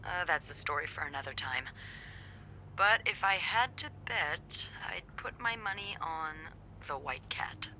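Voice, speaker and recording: A playful, sardonic young receptionist in a 1950s mystery game. Her voice is strikingly bright and forward, and her pitch moves a lot as she teases and quips. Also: unhurried, a little hiss or hum, full character voice, low-quality recording. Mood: wry, playful. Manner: wry, teasing, expressive. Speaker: hotel receptionist. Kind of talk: conversational video game acting.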